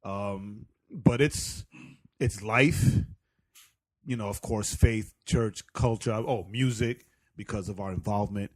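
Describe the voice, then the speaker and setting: A man's voice: lowish voice, slow pace, a little mellow, no room sound. Laid-back man from New York. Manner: laid-back, laughs often, repeats words. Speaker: podcast co-host. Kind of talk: casual chat. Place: indoor room, shared studio mics.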